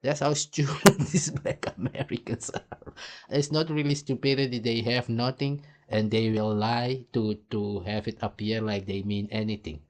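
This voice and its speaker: A man speaks in a fairly low voice, delivering a heated reaction rant. His talk is blunt and profane, and he hammers his points home. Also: steady tone, normal speed. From Suriname. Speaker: commentary host. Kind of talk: ranting reaction commentary.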